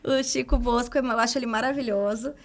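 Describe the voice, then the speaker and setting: A woman's voice: on the high side, fairly even intonation, a little fast. A woman chatting casually as a podcast guest, readily agreeing and chiming in. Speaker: podcast guest, journalist and writer.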